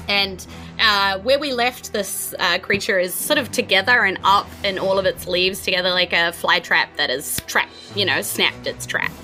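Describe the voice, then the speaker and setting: A woman with a New Zealand accent runs a tabletop game, painting the scene as she goes and hunting for words with plenty of fillers. Her voice is bright and forward, at a middle pitch. Faint music sits behind her. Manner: descriptive, searching for words with many fillers. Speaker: game master.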